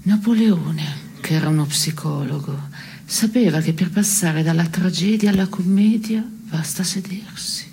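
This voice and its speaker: An actress playing a dry, needling woman in absurdist theatre, clipped and deadpan, often slipping toward a whisper. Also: lowish voice, measured pace, slight background noise. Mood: deadpan, dark. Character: dry, needling woman musing darkly on morals. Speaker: stage actress. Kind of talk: scripted absurdist theatre dialogue.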